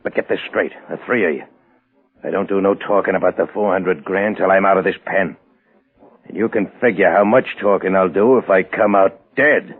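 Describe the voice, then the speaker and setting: A man playing a surly, suspicious condemned gangster in a 1940s radio crime drama, talking in rough slang. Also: low voice, moderate pace, natural voice. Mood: grudging, hard. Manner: rough slangy tough talk. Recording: muffled vintage 1940s radio recording.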